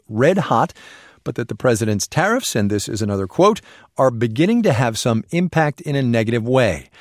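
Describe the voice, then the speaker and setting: A man's voice: on the high side, no echo, clean studio sound. An American man hosting a radio news show, reading scripted news conversationally with wry, informal asides. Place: dry broadcast studio.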